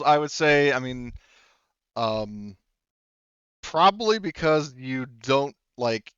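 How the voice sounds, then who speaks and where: A man's voice: mid-range voice, clean tone, dry recording, dull audio. A man casually running a tabletop game as game master, thinking aloud with a hesitant delivery.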